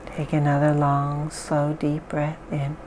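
A woman leading a guided mindfulness exercise, speaking calmly and softly with long pauses. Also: low voice, background noise. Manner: slow, calm, soft-spoken guidance with pauses. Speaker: therapist guiding an exercise.

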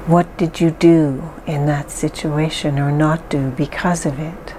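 A woman leading a guided mindfulness exercise, speaking calmly and softly with long pauses. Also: low voice, slight background noise, poor audio. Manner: slow, calm, soft-spoken guidance with pauses. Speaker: therapist guiding an exercise.

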